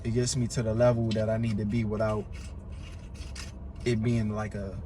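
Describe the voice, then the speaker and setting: A man's voice: lowish voice, little pitch movement, normal speed, mellow, a little hiss or hum. An American man who stutters, chatting casually and emphatically as a podcast guest, with heavy slang and swearing. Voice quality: stutter, word and syllable repetitions. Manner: emphatic, repeats himself for emphasis.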